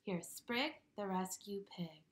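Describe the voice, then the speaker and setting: A woman reading a children's picture book aloud with expressive, dramatic storytelling delivery. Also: mid-range voice, slow.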